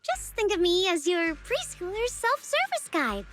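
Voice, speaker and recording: Cheeky, very high-pitched anime service girl. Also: sing-song, unhurried, over music, exaggerated voice. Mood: sarcastic, mock-sweet. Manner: mock-polite, sarcastic, theatrical. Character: cheeky, smug young female customer-service agent, sarcastic sweet-talker. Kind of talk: anime-style video game voice acting.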